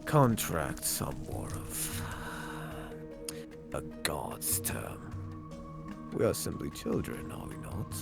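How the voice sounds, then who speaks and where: A man playing a solemn, courteous young god of death in a Minecraft roleplay, speaking formally and cryptically in a gravelly voice. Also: a bass voice, very animated, over loud music, heavily acted. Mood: formal, measured. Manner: slow, formal, vague speech.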